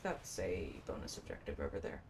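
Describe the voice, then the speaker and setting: A woman's voice: extremely low pitch, huge pitch swings, brisk, gravelly, background noise. A woman doing casual gameplay commentary, chatty and musing as she plays and reads quest text aloud. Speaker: gameplay streamer. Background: faint game music.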